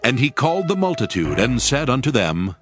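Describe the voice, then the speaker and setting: Male scripture narrator with lively inflection. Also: mid-range voice, a little fast. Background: music.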